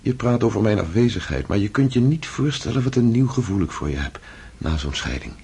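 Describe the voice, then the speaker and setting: Husky, earnest, musing husband. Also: male voice, on the low side, lively intonation, brisk, darkish tone, full character voice. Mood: reflective. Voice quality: breathy, husky. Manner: hesitant, thoughtful. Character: earnest, reflective, affectionate idealist husband. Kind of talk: intimate radio drama acting.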